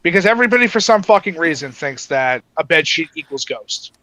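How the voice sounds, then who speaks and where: Man, high-pitched voice, dry sound, joking casual livestream banter, some swearing. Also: lively intonation, a little fast, bright, forceful tone, no room sound, rough recording. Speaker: livestream co-host. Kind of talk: casual chat. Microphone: voice call.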